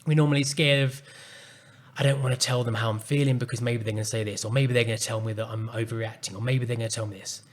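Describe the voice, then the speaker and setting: A man hosting a solo podcast, speaking calmly and earnestly as he reflects on a personal story. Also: mid-range voice. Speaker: podcast host and coach.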